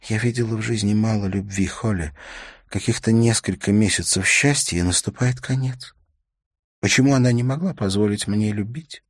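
A man's voice: fairly low pitch, normal speed, dry recording, studio quality, pitched above the performer's own voice. A man voicing a grieving, ailing older woman in an audiobook, bitter, tearful and pleading. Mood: bitter longing.

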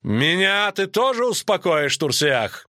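Man voicing an outraged knight, shouting high. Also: big pitch swings, slow pace, no echo, studio quality, full character voice. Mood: indignant, challenging. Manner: shouting, declamatory. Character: outraged proud knight, defiant, spoiling for a duel.

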